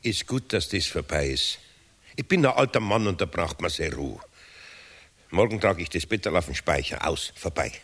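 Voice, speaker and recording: An actor playing a grumbly, kindhearted old Bavarian craftsman in a radio play, talking in a folksy, halting way. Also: low voice, expressive, fairly bright tone, breathy edge, no room sound, full character voice. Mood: resigned, grumbling. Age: older. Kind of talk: 1970s radio play dialogue.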